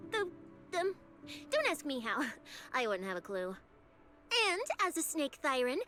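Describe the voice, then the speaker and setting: A cocky young snake-woman case specialist, with a playful, bossy way of teasing. Her voice sits high and bright, and it bounces all over. Faint game music plays behind her. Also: exaggerated voice.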